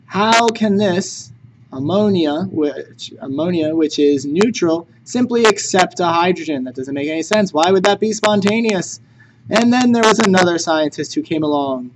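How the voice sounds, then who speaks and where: A man's voice: sits high, expressive, moderate pace. A man teaching chemistry in a recorded lecture, conversational and jokey. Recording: noticeable background noise.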